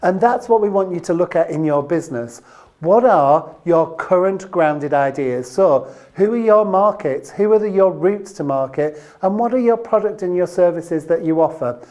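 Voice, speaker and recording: Low, mellow-voiced woman business trainer. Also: lively intonation, normal speed. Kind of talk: instructional training video presentation to camera.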